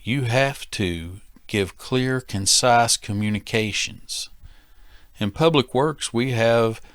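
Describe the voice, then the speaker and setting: A man's voice: medium pitch, slow pace, a bit bright, clean tone, no echo, clean studio sound. An American man giving an instructional safety training talk as an instructor, emphatic and repeating key phrases for stress.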